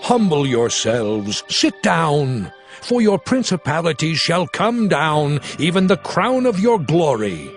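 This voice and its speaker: Slow, highish-voiced solemn herald. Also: male voice, big pitch swings, faint music behind, full character voice. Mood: grave warning. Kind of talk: dramatized scripture reading.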